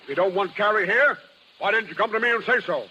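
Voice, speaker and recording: High-voiced stern carnival boss. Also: male voice, fast talker, sharp tone. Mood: scolding. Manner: emphatic, reproving. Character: stern carnival boss called Colonel, scolding yet loyal. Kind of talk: 1930s radio drama acting. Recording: dull, noisy 1930s radio recording.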